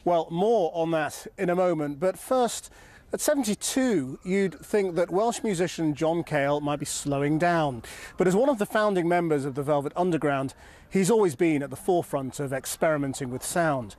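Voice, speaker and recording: Clear-voiced male news presenter. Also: on the high side, darkish tone. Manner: measured, clear newsreader delivery. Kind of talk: scripted news presentation and interview questions.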